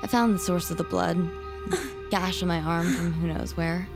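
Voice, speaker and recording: A woman playing a shaken, determined young woman in a supernatural horror audio drama, dramatic and deliberate in delivery. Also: lowish voice, fairly even intonation, gravelly. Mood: uneasy, hushed. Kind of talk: first-person audio drama narration and acted scenes. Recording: loud dramatic music behind the voice.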